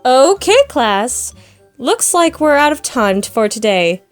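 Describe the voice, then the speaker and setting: A woman's voice: high voice, big pitch swings, unhurried. A woman voice actor recording scripted teacher-like lines for a fan story, slipping into muttered asides in outtakes. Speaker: amateur voice actor. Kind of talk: fan fiction voice-acting outtakes. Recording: faint music behind the voice.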